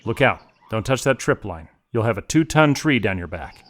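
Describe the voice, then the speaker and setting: An actor playing a resolute, resourceful mercenary on the run in an audio drama, speaking in terse, urgent commands. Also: male voice, lowish voice, very animated, moderate pace, fairly bright tone. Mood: tense, commanding. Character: resolute, resourceful male mercenary hunted through a jungle.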